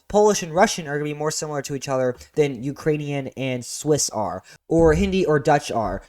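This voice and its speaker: A young man runs through a review lesson at a rapid clip. His voice sits a little high, and his delivery is casual and explanatory, with quick offhand asides. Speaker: teacher.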